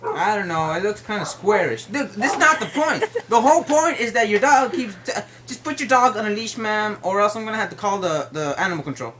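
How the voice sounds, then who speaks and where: A woman's voice: medium pitch, lively intonation, brisk, fairly bright tone, slight background noise, natural voice. A woman playing an aggrieved, stubborn male neighbor, argumentative and repetitive, in an improvised prank phone call. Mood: stern, insistent.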